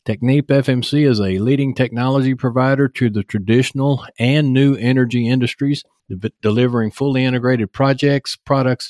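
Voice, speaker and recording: A man's voice: medium pitch, a little mellow, no room sound. Texan man hosting a podcast, dry close sound. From Texas, United States. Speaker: podcast host. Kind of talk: podcast hosting, sponsor read and interview chat.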